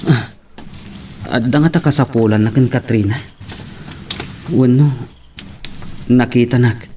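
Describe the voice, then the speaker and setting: A man's voice: mid-range voice, measured pace, warm tone, a little hiss or hum, natural voice. A man playing an easygoing young boyfriend in an audio drama, with an Ilocano accent. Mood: casual, waiting. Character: easygoing young boyfriend waiting for his girl.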